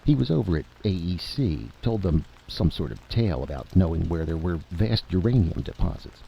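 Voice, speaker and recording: A man's voice: sits low, big pitch swings, normal speed, creaky, voice pushed up, exaggerated voice. A man voicing an informal, probing army general in a radio drama. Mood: intrigued. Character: gruff, folksy, nagged-by-curiosity male army general. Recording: dull, noisy vintage radio recording.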